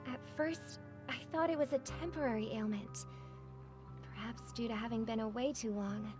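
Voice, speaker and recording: An actress voicing a poised young woman singer in a story game. She speaks high and soft, composed and earnest. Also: fairly even intonation, moderate pace, music in the background, close to an everyday voice. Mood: calm. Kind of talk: game voice acting.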